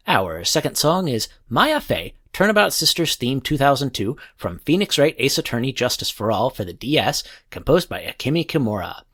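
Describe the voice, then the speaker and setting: Animated, husky male podcast host. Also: medium pitch. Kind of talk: scripted music show narration.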